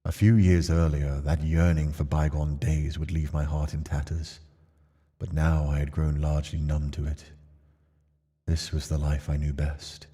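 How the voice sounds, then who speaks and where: A man reading aloud in the first person as a numb, brooding young nobleman exiled to a mansion, in a measured, subdued way. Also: a bass voice, flat delivery, dark tone, breathy edge, big-room sound, heavily acted. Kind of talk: reading game text aloud.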